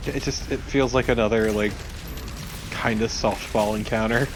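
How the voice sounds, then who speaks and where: A man's voice: mid-range voice, steady tone, moderate pace, noisy background. A man in casual banter as a let's play co-commentator, teasing and sarcastic, with some swearing. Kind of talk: casual banter over gameplay.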